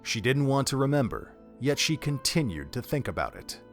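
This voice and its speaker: A man's voice: mid-range voice, big pitch swings, moderate pace, faint music behind. A man narrating a dramatic story from a script, as the narrator of an audio drama.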